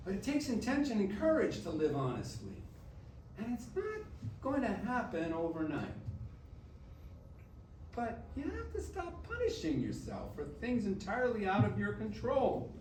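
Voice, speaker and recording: A man's voice: sits high, wildly swooping pitch, normal speed, darkish tone. A man acting a playful, loving grandfather in a staged reading, with an emphatic, theatrical delivery. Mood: earnest, firm. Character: playful, loud, loving grandfather back from death. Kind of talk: staged musical reading, acted dialogue. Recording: distant, echoey room with faint noise.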